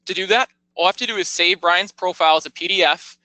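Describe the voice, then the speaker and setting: Bright-voiced man giving a software walkthrough. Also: high voice, fast talker, no room sound, rough recording. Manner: explanatory, step-by-step, informal. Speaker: software product demonstrator. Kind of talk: conversational product tour narration.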